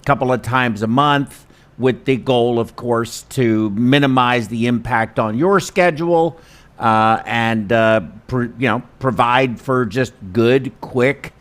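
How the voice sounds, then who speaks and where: A man hosting an informal instructional webinar, talking in a chatty, self-deprecating, halting way. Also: mid-range voice. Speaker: host and perfusionist instructor. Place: studio.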